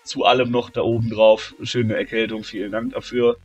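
A man with a cold, commentating a video game wrestling tournament, shouting at the big moments and calmer between them. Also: moderate pace, breathy edge, lo-fi sound, faint music behind. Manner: shouts and gets high-pitched at big moments, calmer when tallying scores. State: has a cold, slightly hoarse. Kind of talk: excited play-by-play commentary.

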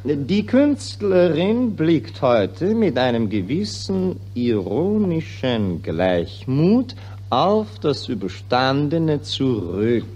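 A pushy pressman in an old 1940s radio drama. His pitch leaps hugely as he declaims like someone dictating copy, with a drawn-out, bullying pomposity. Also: very animated, a little mellow, dull audio, heavily acted. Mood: pompous dictation. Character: pushy, bombastic newspaper reporter twisting every word. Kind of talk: 1940s German radio play acting.

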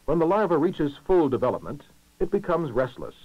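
A male narrator from a 1960s educational film, speaking in a formal, measured way with expressive rises and falls. His mid-pitched voice comes through a dull, muffled old film soundtrack with very little room sound. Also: no echo. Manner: formal, measured, clearly enunciated delivery. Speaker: documentary narrator.

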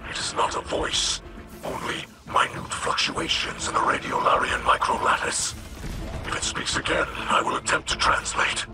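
A man voicing a solemn alien mystic in a video game, with a breathy, whispery voice and formal, deliberate, grave delivery. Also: over loud music, close to an everyday voice. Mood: grave, intent.

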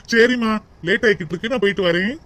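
Woman doing a calm, lower-voiced Tamil man. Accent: Tamil Nadu. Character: calm, reassuring grown man, dutiful and kindly.

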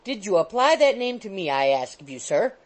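A woman voicing an indignant, quarrelsome middle-aged landlady, in a loud scolding tirade. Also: medium pitch, very animated, brisk, natural voice. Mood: furious. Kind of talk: audiobook character voice.

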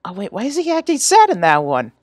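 A woman's voice: medium pitch, huge pitch swings, fast talker, dry recording, clean studio sound. A woman talking casually to herself between takes, exclamatory and self-mocking.

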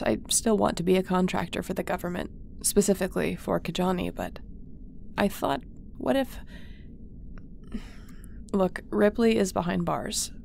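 Female space captain, heavy vocal fry, tender and loyal, sci-fi audio drama, faint noise. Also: fairly low pitch, darkish tone. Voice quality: creaky. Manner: tender, reassuring, conversational. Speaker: voice actor. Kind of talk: audio roleplay acting.

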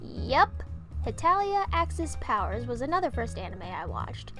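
A young adult woman narrating a storytime vlog as its creator, with an expressive, theatrical delivery. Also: sits high, moderate pace, soft background music.

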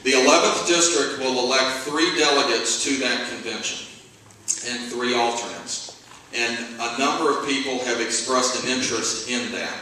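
A man's voice: mid-range voice, normal speed, bright, forceful tone, a little hiss or hum. A middle-aged man, chairing a party convention from the stage with folksy joking asides. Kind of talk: convention speech. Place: large hall with stage. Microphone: handheld mic through PA, camera far off. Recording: echoey hall from far off.

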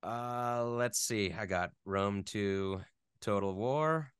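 Low, smooth-voiced man chatting casually. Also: slow pace, no room sound, studio quality. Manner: rambling and hesitant, geeky enthusiasm. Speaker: interview guest, voice actor. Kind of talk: casual chat.

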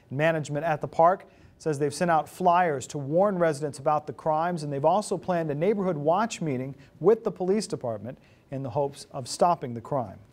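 Higher-voiced American male news anchor. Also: darkish tone. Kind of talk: scripted news reading. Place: TV news studio. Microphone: lapel mic.

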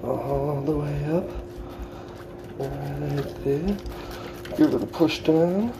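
A man's voice: fairly high pitch, extremely slow, noisy background. A middle-aged man with a Boston accent giving a casual step-by-step how-to in his home kitchen, plainspoken and instructive as he works with his hands. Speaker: home cook demonstrating fish filleting. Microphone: camera on the counter.

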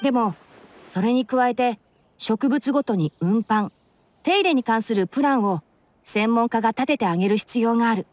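A woman voicing a composed, dry-witted young male forest expert in an anime game, light and boyish, explaining things in a measured, wry way. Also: fairly high pitch, a little mellow, natural voice. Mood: composed, explanatory.

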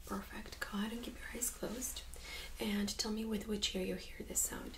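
A young woman speaking softly, mostly in a whisper, gently giving instructions in an ASMR medical role-play. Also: medium pitch, steady tone, normal speed. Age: young adult. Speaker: ASMR creator playing a medical examiner. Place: small indoor room set up as exam room.

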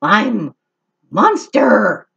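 A sly little mouse who plays innocent with a prim, breathy voice, as a woman reads her part. The recording is dull and lo-fi. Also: medium pitch, sing-song, drawn-out words, fairly bright tone, voice pushed up, heavily acted.

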